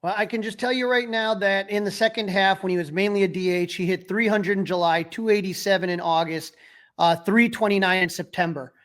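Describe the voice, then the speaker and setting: A man with a high voice talks sports as a podcast co-host. He is opinionated and emphatic in a casual fan's way, and the sound is close and dry. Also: steady tone, a little fast, a bit bright, dry recording. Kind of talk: casual sports talk and commentary.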